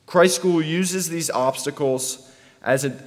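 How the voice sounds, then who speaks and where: A young American man in his late teens delivering a prepared commencement speech in a deliberate, deadpan way. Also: fairly high pitch. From North Carolina, United States. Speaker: student graduation speaker. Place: podium on a stage in a large hall. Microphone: podium microphone. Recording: echoey large hall, long reverb.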